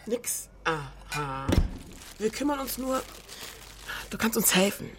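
A woman's voice: mid-range voice, sing-song, takes it slowly. An actress playing a direct, insistent young woman in a radio drama, with faint background noise. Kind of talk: naturalistic radio drama acting.

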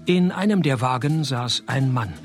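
An actor playing a laconic, cynical private detective who narrates and talks in a dry, deadpan way in a radio play. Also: male voice, mid-range voice, normal speed, soft background music, natural voice. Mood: deadpan narrating.

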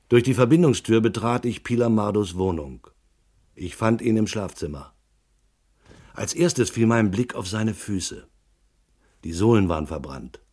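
Low-voiced, laconic hard-boiled detective. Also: male voice, darkish tone. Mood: sober, grim. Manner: laconic, dry, sardonic. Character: wry, skeptical male private detective telling his case. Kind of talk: radio drama narration and dialogue, hard-boiled crime.

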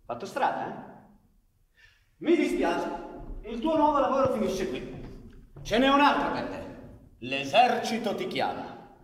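A man's voice: high-pitched, big pitch swings, measured pace, long pauses. An actor playing a contemptuous, commanding policeman with a sneer in live radio theatre. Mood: menacing. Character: menacing, contemptuous policeman delivering an army call-up. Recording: roomy, echoing, distant from the mic.

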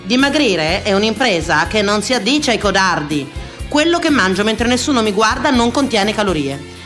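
An actress playing a wry, self-mocking woman eternally on a diet, declaiming in a comic theatrical radio monologue with dramatic pauses. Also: mid-range voice, big pitch swings, a bit bright. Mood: mock solemn. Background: music.

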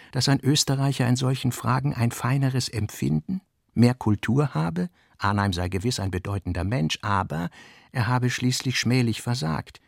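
A man reading scripted literary narration for a radio play in a measured, articulate manner. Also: medium pitch, creaky, no room sound, studio quality.

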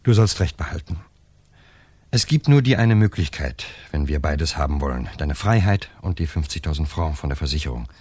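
An actor playing a wary, methodical lover plotting a murder in a German radio crime drama. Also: male voice, a bass voice, expressive, normal speed, a little mellow, breathy edge, no room sound, heavily acted. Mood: grave, cautious. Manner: cautious, methodical.

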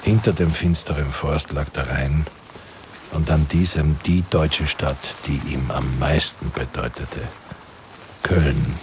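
A man's voice: very deep voice, background noise. A man narrating a wartime radio drama in a grave, literary manner. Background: music.

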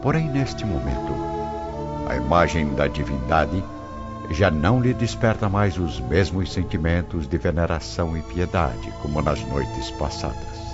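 A man's voice: very deep voice, lively intonation, measured pace. A man with a Brazilian accent delivering solemn, literary, dramatic narration for a radio drama. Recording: dull lo-fi with prominent music.

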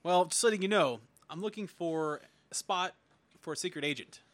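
Male podcast guest, casual game chat, bright high-pitched voice. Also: moderate pace.

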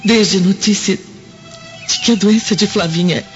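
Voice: medium pitch, measured pace, faint music behind, lightly acted. A performer acting in a radio drama as an anguished Roman mother. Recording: dull audio.

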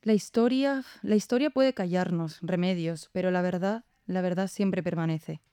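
Audio drama role: actress for idealistic, reflective woman philosopher. Soft dark tone, contemplative pacing of thought, dry studio sound. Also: on the low side, normal speed, clear, resonant tone, no echo, natural voice. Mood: solemn, hopeful. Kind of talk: scripted audio drama dialogue.

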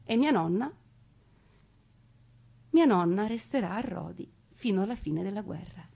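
A woman giving a theatrical scripted narration as a stage storyteller, with dramatic, deliberate phrasing. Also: medium pitch, expressive, creaky, dark tone.

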